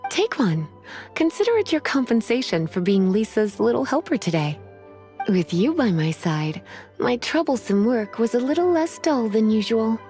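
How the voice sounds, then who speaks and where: A woman voicing a sultry, teasing librarian who speaks in a languid, gently threatening way, in a video game with music behind. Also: a little high, very animated, normal speed. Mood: warm.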